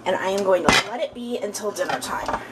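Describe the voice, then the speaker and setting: A chatty, upbeat woman in her thirties talks through a recipe at her kitchen counter. Her pitch sits at a middle level. The recording picks up clear kitchen noise and the clatter of dishes from the camera mic. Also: lively intonation, a little fast. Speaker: home cooking vlogger. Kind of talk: casual cooking narration. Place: home kitchen, indoors.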